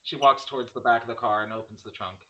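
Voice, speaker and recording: A man's voice: medium pitch, steady tone, fast talker, a bit bright, dull audio. A man reading out screenplay stage directions as a narrator.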